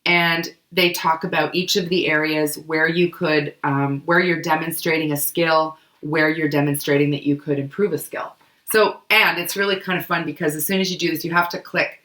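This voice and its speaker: A woman co-hosting a book club podcast, hoarse and coughing from a cold, chatting casually with many fillers. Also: deep voice, steady tone, normal speed, fairly bright tone, roomy sound. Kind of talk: casual explanatory chat.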